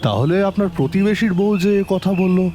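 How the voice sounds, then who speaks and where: A man's voice: high voice, normal speed, mellow, natural voice. A man playing a polite, probing police detective who narrates his case in a dramatic audio story, with questioning delivery. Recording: long reverb, music bed.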